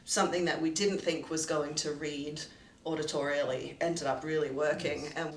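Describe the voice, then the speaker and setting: A woman's voice: sits low, steady tone, normal speed. A woman co-producer reflecting thoughtfully on the making of a radio play in casual roundtable chat. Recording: echoey room, distant mic, faint noise.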